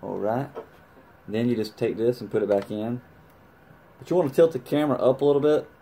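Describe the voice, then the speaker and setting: A man's voice: medium pitch, brisk, warm tone, slight background noise. A man giving a casual, hands-on vlog tutorial, talking colloquially with playful sound-effect interjections. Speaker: motorcycle vlogger demonstrating gear.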